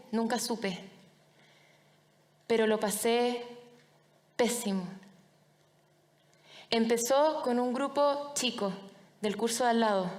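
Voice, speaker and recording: A young woman with a Chilean accent gives a personal storytelling talk. Her voice sits fairly high. She speaks in short, deliberate phrases with dramatic pauses, and the sound carries the long reverb of a large hall. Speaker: public speaker, anti-bullying foundation director.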